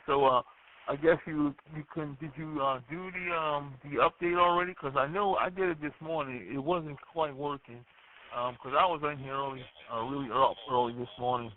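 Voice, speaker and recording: A man chatting as an amateur radio operator, rambling in a laid-back, stop-start way over a radio link. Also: medium pitch. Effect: radio transmission. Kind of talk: casual radio contact chat. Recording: narrow tinny radio audio with hiss.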